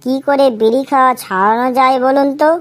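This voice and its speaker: A woman's voice: high-pitched, fast, close to an everyday voice. A woman voicing a cheeky, silly young schoolboy in a comedy cartoon. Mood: cheeky earnest. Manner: cheeky, earnest silly answers. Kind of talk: comedy cartoon dialogue in Bengali.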